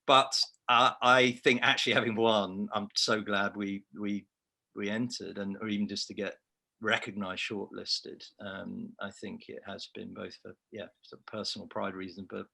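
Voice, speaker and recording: A man's voice: lowish voice, little pitch movement, moderate pace, gravelly, bright, forceful tone. A man with a Liverpool accent, an award-winning researcher, giving a reflective, self-deprecating answer in a remote interview. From Liverpool, England.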